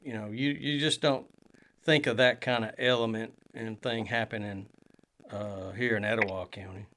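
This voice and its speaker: A man's voice: on the low side, steady tone, normal speed, a bit bright, slight background noise. A man, co-hosting a podcast in casual chat, rambling with many fillers and restarts. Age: 20s. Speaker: podcast co-host.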